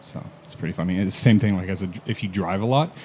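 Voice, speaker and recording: Brief: male podcast guest, lower register, easygoing storyteller, casual cursing, faint hum behind. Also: quick pace, a little mellow. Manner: laid-back storyteller, swears casually, chuckles often. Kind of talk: casual chat. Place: podcast recording in Canada.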